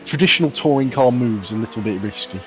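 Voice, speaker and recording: A man giving casual, analytical live commentary on a sim race, explaining things in a measured way. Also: mid-range voice, expressive. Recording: faint noise behind voice.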